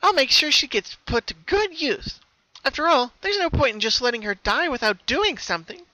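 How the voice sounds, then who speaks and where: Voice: high voice, expressive, normal speed, bright, forceful tone, dry recording, voice pushed up, low-quality recording. A performer voicing a giddy, bouncy young female cartoon pony with a sinister streak, gushing in singsong cheer. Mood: gleeful menace.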